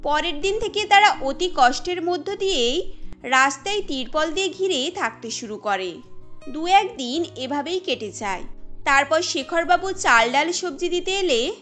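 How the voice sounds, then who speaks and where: A woman narrating a cartoon story in a steady storytelling manner. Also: high voice, unhurried, soft background music.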